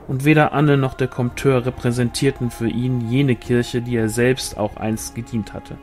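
A man's voice: mid-range voice, level tone, moderate pace, a little mellow. Man reading a novel over music. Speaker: audiobook reader. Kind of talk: scripted narration of a fantasy novel.

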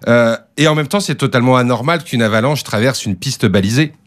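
A man's voice: medium pitch, a little fast. A middle-aged man hosting a live news interview, putting questions into a studio microphone. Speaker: radio news host. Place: small radio studio. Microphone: studio microphone on stand, headset monitoring.

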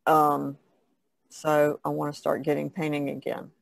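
A woman's voice: low voice, monotone, measured pace, gravelly, no room sound. A woman of seventy chatting casually as a guest on an art podcast. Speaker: podcast panelist, artist. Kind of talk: casual chat.

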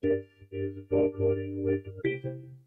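A man speaking a demonstration phrase through a vocoder, his voice turned into robotic synth chords. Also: a little slow. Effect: vocoder, robotic synth chords mixed with voice. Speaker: tutorial instructor demonstrating a vocoder. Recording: muffled band-limited vocoded voice over organ chords.

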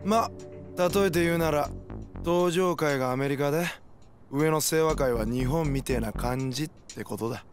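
A man playing a short-tempered young yakuza in a game cutscene, talking gruff, bossy street slang over music. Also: a little high, moderate pace, close to an everyday voice. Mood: gruff explaining. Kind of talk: video game cutscene acting.

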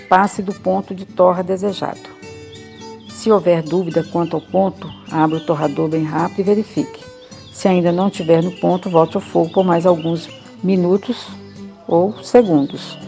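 A woman's voice: fairly low pitch, fairly even intonation, normal speed, vocal fry, warm tone, soft background music. A woman explaining a task to viewers in a plain, instructive way while working. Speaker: coffee processing instructor. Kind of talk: demonstration talk.

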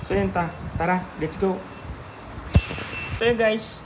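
A man with a Filipino accent giving a casual, halting how-to narration as a vlogger, often searching for words. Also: sits high, heavy background noise. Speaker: motovlogger and host giving a product installation guide.

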